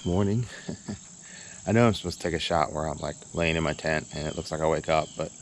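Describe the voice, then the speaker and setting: A man's voice: very deep voice, a little fast. A man narrating a casual camping vlog outdoors, rambling and hesitant, with easy chuckles. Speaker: channel host. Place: outdoors at a campsite. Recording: outdoor background noise.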